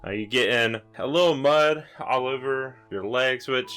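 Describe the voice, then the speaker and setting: A man's voice: mid-range voice, a little slow, a bit bright, clean tone, soft background music. A man narrating a tabletop roleplaying game as game master, explaining things in an improvised way.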